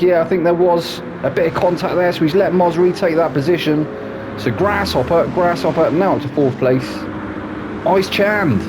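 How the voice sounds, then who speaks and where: A man with a snuffly hay fever voice, commentating a sim racing league in a chatty way, full of tag questions. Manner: chatty, asks tag questions, drops low for asides. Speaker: race commentator. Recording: loud game engine noise behind voice.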